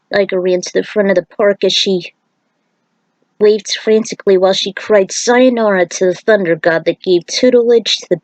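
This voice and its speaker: A woman's voice: medium pitch, a little mellow, dry recording, rough recording. A woman reading a fan fiction story aloud as an amateur narrator.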